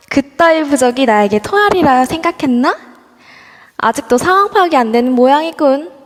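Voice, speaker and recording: A woman's voice: sits high, big pitch swings, unhurried. A woman voicing a haughty young maiden ghost who rules a haunted hotel, taunting and commanding, in an amateur audio drama. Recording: long reverb over a voice app.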